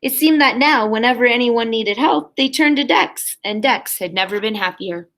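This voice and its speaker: Woman, schoolteacher, high voice, expressive story reading, simple encouraging talk to children. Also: normal speed. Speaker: primary school teacher. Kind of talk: expressive read-aloud and talk to young children.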